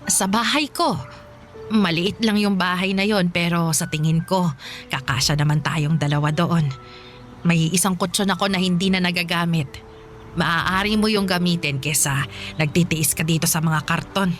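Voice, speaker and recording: An actress playing a kind, devout elderly Filipino woman in a radio drama, speaking gently with caring, pitying exclamations. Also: lowish voice, steady tone, moderate pace, close to an everyday voice. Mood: kindly, inviting. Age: older. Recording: music bed under the voice.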